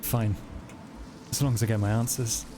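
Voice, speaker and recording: A man's voice: on the low side, fairly even intonation, moderate pace, dark tone, a little hiss or hum, lightly acted. A man playing a wounded, suspicious private investigator, terse and demanding, in a tabletop game. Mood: defiant.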